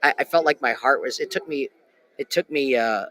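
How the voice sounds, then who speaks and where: A man's voice: mid-range voice, moderate pace, bright, forceful tone, a little hiss or hum. A man hosting a casual sports betting talk show, rambling with many restarts and fillers. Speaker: podcast host. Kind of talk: casual chat.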